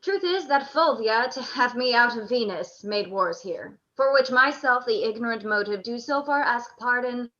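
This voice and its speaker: A woman's voice: fairly high pitch, animated, normal speed, hardly any pauses, a bit bright, highs cut off. A woman playing a proud, courteous soldier and statesman, speaking formal declamatory verse in a radio play. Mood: proud, earnest. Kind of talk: live radio play acting in Shakespearean verse.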